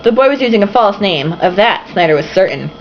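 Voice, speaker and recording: A woman's voice: medium pitch, lively intonation, brisk, lo-fi sound, slight background noise. Tipsy young woman reading aloud. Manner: swearing, giggling asides. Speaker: reader. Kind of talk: reading a novel aloud with comments.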